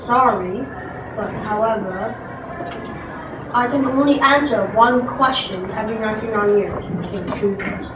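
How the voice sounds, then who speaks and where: A woman playing a solemn, wise old man in an amateur stage reading, speaking in a halting, measured way. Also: a little high, pitched below the performer's own voice, natural voice. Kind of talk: amateur readers theatre performance. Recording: distant mic, echoey room, background noise.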